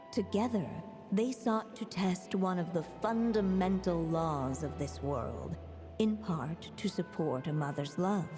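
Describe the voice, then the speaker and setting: A woman playing a solemn, gentle witch who narrates a fairy tale in a video game, measured and storytelling. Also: lowish voice. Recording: long reverb under clear music.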